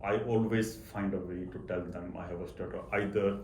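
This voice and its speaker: Low-voiced man with a stutter, echoey room. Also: fairly even intonation, normal speed, darkish tone. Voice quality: stutter, with repeated words and blocks. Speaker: interview guest, accountant. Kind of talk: personal interview talk. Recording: echoey room, faint background noise.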